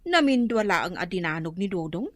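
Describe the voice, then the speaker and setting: Wildly animated, gossipy young friend. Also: female voice, fairly low pitch, brisk, no room sound. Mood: gossipy, amused. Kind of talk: comedic radio drama acting.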